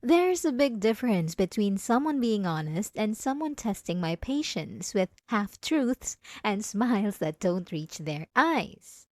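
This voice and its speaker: Woman acting obsessive possessive wife, ASMR roleplay, coaxing, sweetly menacing, very slow, close dry sound. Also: mid-range voice, sing-song, no echo. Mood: controlled irritation. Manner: soft, coaxing, sweetly patronizing with small laughs.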